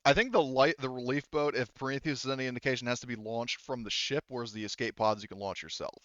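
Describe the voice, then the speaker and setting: A man running a tabletop game as game master, talking casually and haltingly and swearing freely. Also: medium pitch, steady tone, a bit bright, no room sound, highs cut off.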